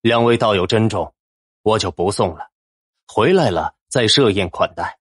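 A man's voice: on the low side, animated, moderate pace, breathy edge, no echo, studio quality. A man voicing a deferential, hospitable Taoist temple deacon in an audiobook, polite and solicitous. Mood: courteous. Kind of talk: audiobook character acting.

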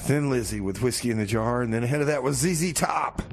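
A man's voice: mid-range voice, quick pace, slight background noise. A man hosting a radio music show, chatting casually and bantering in comedy bits between songs.

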